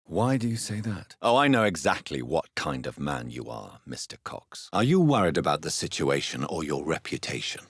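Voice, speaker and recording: An actor playing a refined, brooding doctor turned vampire in a video game, formal and articulate, with a deep, husky voice. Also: male voice, very animated, moderate pace, few pauses, dry recording, studio quality, full character voice. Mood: stern. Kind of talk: dramatic video game voice acting.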